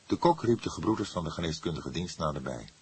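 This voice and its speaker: Male audiobook narrator, extremely low pitch, husky tone, measured scripted crime storytelling. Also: big pitch swings, darkish tone.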